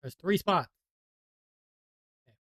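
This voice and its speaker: A woman's voice: takes it slowly, a bit bright. A woman casually commenting on her own gameplay, muttering to herself with little hums. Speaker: game streamer.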